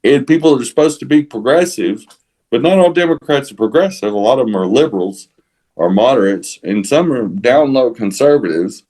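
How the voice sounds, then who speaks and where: A man with a Texas accent talking casually as a podcast co-host, halting often to repeat and rephrase his words. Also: mid-range voice, animated, darkish tone, poor audio. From San Antonio, Texas. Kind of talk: casual opinionated podcast talk.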